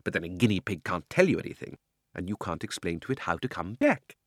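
Sly, theatrical old magician uncle. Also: male voice, low-pitched, big pitch swings, fast talker, no room sound, clean studio sound. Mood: sly, menacing. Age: older. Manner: theatrical, oily, mock-courteous. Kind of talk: dramatic audiobook character reading.

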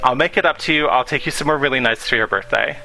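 A man's voice: medium pitch, racing, vocal fry, sharp tone, slight background noise, poor audio. A man voicing a laid-back, careless young boyfriend with an offhand, lazy way of talking. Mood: placating.

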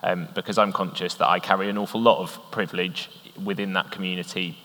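A man moderating a live conference panel, speaking earnestly and in a measured way. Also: low voice, fairly even intonation, sharp tone. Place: conference hall, on stage. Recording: reverberant hall.

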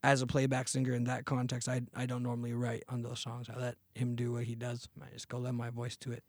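A relaxed man in his thirties tells his story in loose, slangy chat, his voice sitting at an ordinary middle pitch. The sound is close and dry, with hardly any room around it. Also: quick pace, dry recording. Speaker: podcast guest, singer. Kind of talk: casual interview chat.

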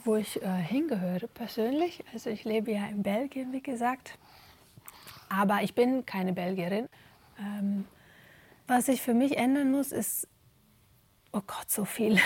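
Husky, creaky-voiced woman in conversation. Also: mid-range voice, darkish tone. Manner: thoughtful, hesitant, searching for words. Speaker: interview guest, theatre performer. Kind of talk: conversational interview answers.

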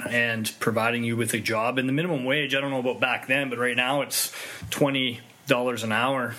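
A man with a middle-range voice hosts a relaxed conversation. He asks long, loose questions and adds his own experiences in an easy, conversational way. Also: mid-range voice. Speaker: interviewer, host. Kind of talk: casual interview.

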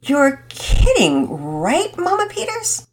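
A woman voicing a distraught young girl who talks high and fast, pleading and whiny. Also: sing-song, pushed and bright. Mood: subdued. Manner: high-pitched, rushed, pleading.

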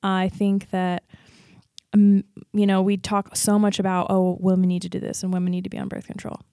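Mellow-voiced woman in her twenties. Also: mid-range voice, monotone, moderate pace, gravelly, smooth, dry recording, studio quality. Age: 20s. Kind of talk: casual health chat.

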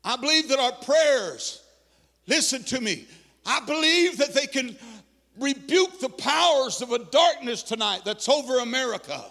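A man preaching a fervent sermon to his congregation, his voice pitched very high and full of gravelly fry. He stammers, repeats himself and slips into sing-song cadences as he drives each point home. The sound rings with the long reverb of a church hall. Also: animated, a little slow, pushed and bright.

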